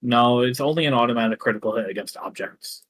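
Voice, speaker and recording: A man running a tabletop game as game master, who gives unhurried rulings with frequent ums. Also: medium pitch, no echo.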